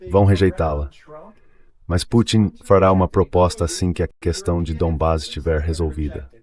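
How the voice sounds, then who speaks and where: A low, deep-set male voice, AI-generated as a dub, delivers political analysis as an interview guest. He is assertive and restates his points for emphasis. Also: fairly even intonation, moderate pace, darkish tone. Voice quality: AI-generated dubbed voice.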